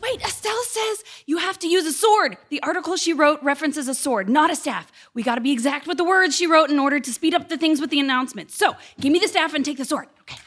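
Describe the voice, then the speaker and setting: Woman as a high-voiced, fussy accomplice. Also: fast, hardly any pauses, fairly bright tone. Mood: fussy, urgent. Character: fussy, anxious female accomplice giving exact instructions. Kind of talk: comic stage play acting before a live audience.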